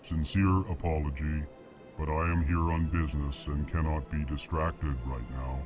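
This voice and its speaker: A man voicing a massive, emotionless alien diplomat who names his feelings in a deep, slow monotone before each sentence. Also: over music. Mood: flat monotone. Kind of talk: video game cutscene dialogue.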